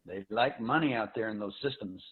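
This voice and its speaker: A man's voice: lowish voice, brisk, lightly acted. A man playing an earnest space officer in a dramatic science fiction reading, speaking in a formal, declamatory way. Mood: worried, hesitant. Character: earnest male space officer pledging to a faint-hope mission. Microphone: video call. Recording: thin phone-like video call audio.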